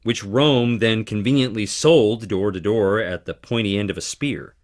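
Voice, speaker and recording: An actor playing a charming, theatrical theater performer with dramatic flair in a video game. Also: male voice, fairly low pitch, normal speed, lightly acted. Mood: playful, theatrical. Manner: theatrical, dramatic, swinging between playful and intense. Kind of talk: video game character dialogue.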